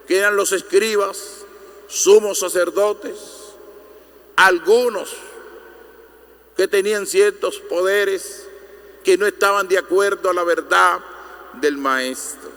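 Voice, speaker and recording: A man's voice: high-pitched, a bit bright. A man preaching a sermon in an emphatic, oratorical manner, using rhetorical questions and repetition. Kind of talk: Good Friday sermon. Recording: long echoing reverb of a large hall.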